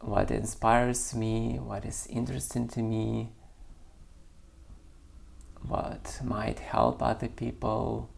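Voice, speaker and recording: Non-native english guy reading his poem then musing, hesitant, lots of pauses, gravelly fry. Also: medium pitch, flat delivery. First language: not English. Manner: slow, searching, hesitant with many pauses. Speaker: poet and channel creator. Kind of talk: reading own poem, then reflective monologue.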